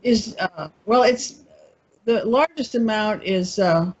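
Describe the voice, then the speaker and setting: A woman with a husky, breathy voice wanders through her thoughts in a relaxed discussion, often pausing to find the word. Her audio comes through dull and muffled. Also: medium pitch. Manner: meandering, searching for words. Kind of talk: casual discussion.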